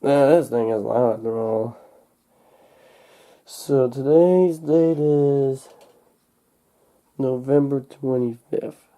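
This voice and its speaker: A young man recovering from a cold, narrating a casual unboxing in a halting, unscripted way, thinking aloud as he goes. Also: mid-range voice, mellow. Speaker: hobbyist vlogger. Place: indoors at home.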